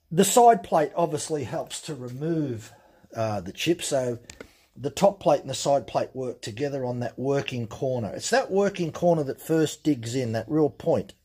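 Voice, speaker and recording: A man explaining things step by step in a relaxed, plain-spoken way during a workshop demonstration. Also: on the high side, slightly rough. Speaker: chainsaw channel host. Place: workshop bench.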